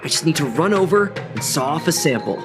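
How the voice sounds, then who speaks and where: A man's voice: fairly high pitch, animated, a little fast, over music, close to an everyday voice. An actor playing a cocky but cowardly young apprentice craftsman in a video game. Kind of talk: video game cutscene acting.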